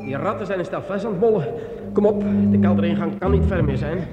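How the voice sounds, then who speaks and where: Actor as jittery man muttering in echoey dark. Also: high voice, dull audio, natural voice. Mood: frightened, agitated. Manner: agitated self-talk, halting and repeating words. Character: jittery man with a sword alone in a dark cellar, muttering to himself. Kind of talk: radio drama monologue. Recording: echoey, with loud music behind.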